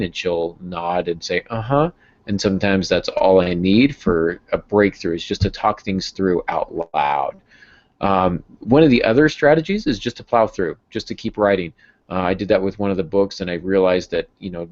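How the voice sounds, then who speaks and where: Very low-voiced male podcast host. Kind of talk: casual podcast talk. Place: small home room with bookshelves. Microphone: earbud headset on a video call. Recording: dull video-call audio.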